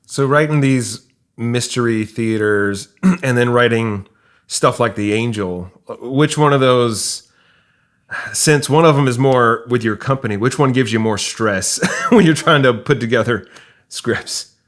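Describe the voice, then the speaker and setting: Man, medium pitch, podcast host, casual interviewing, conversational. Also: measured pace.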